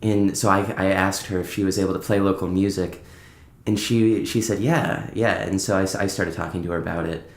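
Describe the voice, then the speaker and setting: A man's voice: sits low, steady tone, fairly quick. A man talking casually as a musician guest on a podcast, rambling through anecdotes and acting out bits of conversation. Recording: roomy, echoing indoor sound.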